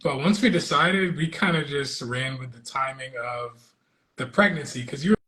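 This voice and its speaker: A man's voice: medium pitch, moderate pace, sharp tone, rough recording. A young American man talking casually as a guest in a conversation. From United States. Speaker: guest, traveling parent. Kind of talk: casual chat.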